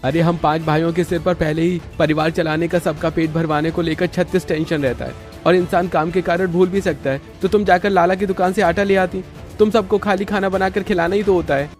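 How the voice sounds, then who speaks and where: A man's voice: high-pitched, flat delivery, a little fast, darkish tone, faint music behind, lightly acted. A man voicing an irritable, grumbling village labourer in a family cartoon, given to complaints and taunts. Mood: irritated. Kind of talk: Hindi family cartoon voice acting.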